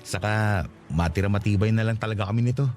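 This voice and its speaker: Man acting a quick-talking, humble young provincial. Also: lowish voice, a little mellow, faint music behind, lightly acted. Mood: resigned, candid. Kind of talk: Tagalog radio romance drama acting.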